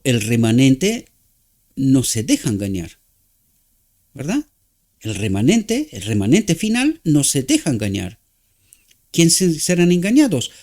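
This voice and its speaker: A man with a Romanian accent preaches in Spanish, his second language. His voice sits fairly high and swings widely in pitch. He halts to search for words and keeps asking his listeners to agree. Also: darkish tone. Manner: halting, searching for words, frequent rhetorical "¿verdad?".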